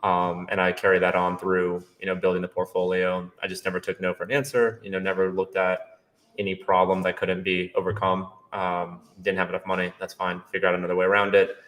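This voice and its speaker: A young man from Brazil, a guest on a podcast, answering in a relaxed, matter-of-fact way. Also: low voice, a little fast. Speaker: guest, real estate investor. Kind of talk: casual interview answers.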